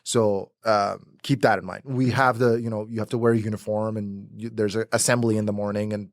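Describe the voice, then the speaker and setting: Guest: young man from Pakistan, native Urdu speaker, lowish voice, rambling casual talk, dry close sound. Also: level tone, fairly quick, dry recording. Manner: rambling, many restarts and fillers. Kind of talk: casual conversational interview.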